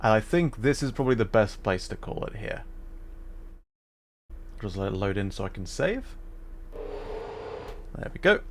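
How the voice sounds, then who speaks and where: A man's voice: fairly low pitch, very animated, moderate pace, long pauses, slight background noise. A man giving casual, informal commentary on a gaming livestream, chatting to viewers.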